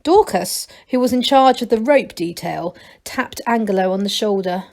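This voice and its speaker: A woman reading a children's story aloud as a teacher would, in expressive narration. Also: mid-range voice, normal speed.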